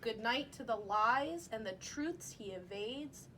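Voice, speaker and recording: A woman's voice: on the high side, lively intonation, slow pace, a bit bright. A woman reading a picture book aloud in a bedtime read-along style. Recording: faint room ambience noise.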